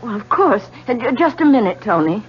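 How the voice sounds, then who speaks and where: A woman's voice: fairly high pitch, big pitch swings, a little fast, slight background noise. Genteel wealthy mother, muffled sound. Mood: anxious. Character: composed, polite wealthy wife and mother. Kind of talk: 1970s radio drama acting. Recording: dull, faintly humming vintage radio broadcast.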